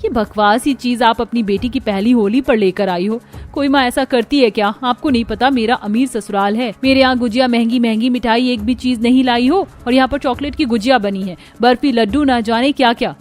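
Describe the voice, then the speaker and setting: A woman's voice: fairly high pitch, a little fast, darkish tone, soft background music, natural voice. A woman voicing a spoiled, newly married rich daughter-in-law in a cartoon story, boastful, scornful and snappy. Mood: resentful, angry. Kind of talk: Hindi cartoon story dialogue.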